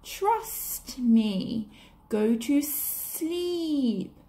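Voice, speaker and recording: A woman's voice: high voice, animated, dark tone, full character voice. Woman as a whispery, sly storybook snake. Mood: coaxing, hypnotic. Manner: slow, drawn-out, coaxing. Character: sly hypnotic evil male snake in a storybook.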